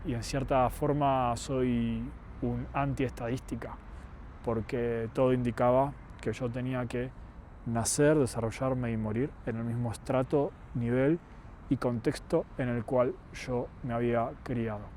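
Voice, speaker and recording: Young male vlogger, Argentine accent, mellow mid-pitched voice, earnest and deliberate, light city noise behind. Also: fairly even intonation. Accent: Argentine Spanish. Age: young adult. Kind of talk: reflective personal monologue to camera.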